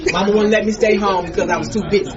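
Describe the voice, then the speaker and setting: A woman, telling childhood stories with a lively, chatty manner at a family reunion. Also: medium pitch, racing. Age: 50s. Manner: chatty, animated, laughing. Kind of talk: casual storytelling. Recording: muffled, distant, crowd chatter behind.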